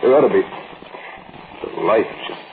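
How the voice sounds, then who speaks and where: An actor playing a nervous but loyal crook, urgent and uneasy, in a 1940s radio drama. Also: male voice, lowish voice, moderate pace, lightly acted. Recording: muffled old radio recording, faint noise.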